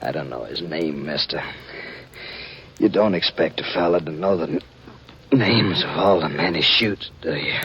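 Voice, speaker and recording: A man playing a wounded, boastful outlaw in a 1950s radio western, breathy and halting, with a rural American drawl. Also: a bass voice, a bit bright, a little hiss or hum. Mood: boastful, weary. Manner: halting, laboured, folksy drawl. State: weak, gravely wounded, acted. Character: dying, boastful male outlaw, stubborn and desperate. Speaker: supporting actor in a radio western. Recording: dull vintage radio recording, music behind.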